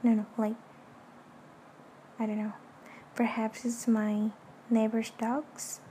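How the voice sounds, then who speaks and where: Young indonesian woman podcasting from bed at night, soft hushed voice, kinda high, faint fan noise behind. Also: little pitch movement. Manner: soft, slow and hushed, lying in bed at midnight. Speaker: podcast host. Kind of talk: casual late-night podcast monologue. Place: dark bedroom at night with an electric fan running. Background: electric fan hum.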